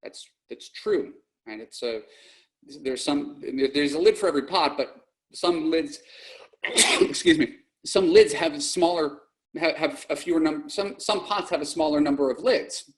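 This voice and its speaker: A man's voice: on the high side, unhurried. A middle-aged man hosting a dating advice podcast, speaking bluntly and plainly and often correcting himself mid-sentence. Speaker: podcast host and dating coach. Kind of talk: podcast monologue and call-in coaching.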